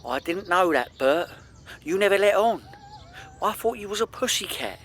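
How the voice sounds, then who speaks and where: A man plays a hapless London petty crook with a high voice. He is a cocky, excitable dreamer in a radio comedy, naive under all the boasting. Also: moderate pace, a little hiss or hum. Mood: sheepish, earnest. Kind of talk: comedy radio play acting.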